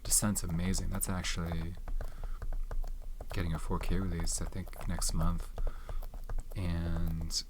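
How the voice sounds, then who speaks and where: Deep-voiced man, soft-spoken asmr chat, wandering and unhurried, noisy track with tapping and keyboard clicks. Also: level tone. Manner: rambling, unhurried, searches for names aloud. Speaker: ASMR channel host. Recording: audible background noise with keyboard and tapping clicks. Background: tapping and typing clicks.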